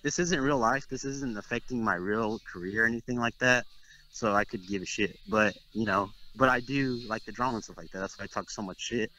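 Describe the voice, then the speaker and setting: A man's voice: lowish voice, brisk, pushed and bright, rough recording. A young man from Texas talking trash in a casual interview, boastful and rambling with lots of "like"s. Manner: brash, boastful, rambling with many "like"s. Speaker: interviewed competitive fighting game player.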